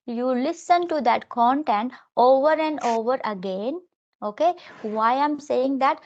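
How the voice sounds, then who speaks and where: High-pitched woman teacher, muffled audio. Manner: instructive, repeats key phrases for emphasis. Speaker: English teacher, primary school teacher. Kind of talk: conversational spoken-English coaching.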